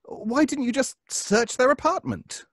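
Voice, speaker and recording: An actor playing an anxious, earnest, insistent neighbor with a nervous, halting delivery, in old-time radio suspense style. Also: male voice, very high-pitched, very animated, fairly bright tone, dry recording, clean studio sound, heavily acted. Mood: insistent, agitated. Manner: nervous, hesitant, stammering.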